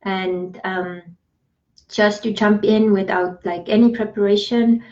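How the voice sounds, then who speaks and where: A woman's voice: mid-range voice, fairly even intonation, slow pace, darkish tone, muffled recording. Chatty woman with an Estonian accent. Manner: chatty, laughs often, reads definitions aloud. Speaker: podcast co-host. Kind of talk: casual chat.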